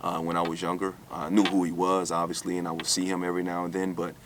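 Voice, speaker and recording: A man's voice: low voice, completely flat delivery, quick pace, a little hiss or hum. A man from the United States, raised in Alabama, speaking candidly and conversationally as an interview guest, often repeating and circling back over his phrases. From United States, born in New York, raised in Alabama. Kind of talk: candid personal storytelling in an interview.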